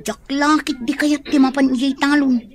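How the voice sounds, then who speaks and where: A woman's voice: high-pitched, steady tone, moderate pace. An actress playing a carefree young female student in a radio drama, chatty and teasing.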